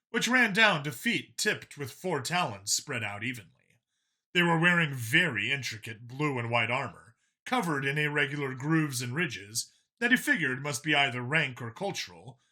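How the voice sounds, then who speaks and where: Very bright, lively male story narrator. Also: mid-range voice. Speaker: audiobook narrator. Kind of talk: scripted fiction narration.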